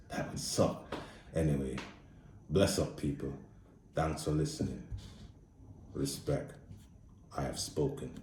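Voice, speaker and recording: A man's voice: very deep voice, warm tone, echoey room, distant mic, slight background noise, poor audio. A man with a Jamaican accent giving a blunt, profane, casual movie review. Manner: blunt, profane, speaks straight with no filter. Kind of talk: casual opinionated review monologue.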